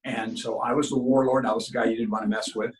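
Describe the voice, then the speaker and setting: A middle-aged man born in Cuba, whose first language was Spanish, talking at an everyday pitch. He tells long stories in earnest, often restarting his sentences. His delivery sounds like a guest chatting on an interview show. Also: little pitch movement, fast talker, low-quality recording. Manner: earnest, talks in long runs with many restarts. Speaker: guest actor. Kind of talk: casual interview storytelling.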